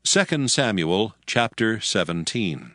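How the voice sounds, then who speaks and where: A man narrating a dramatized scripture reading in a steady, measured way. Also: lowish voice, no echo, studio quality. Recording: faint music bed.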